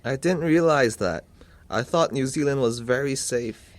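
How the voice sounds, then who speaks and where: A man's voice: mid-range voice, unhurried, lightly acted. A man acting a polite, concerned uncle who asks questions in a scripted listening-test dialogue. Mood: polite, curious. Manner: polite, inquiring. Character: polite concerned uncle seeking advice for his niece.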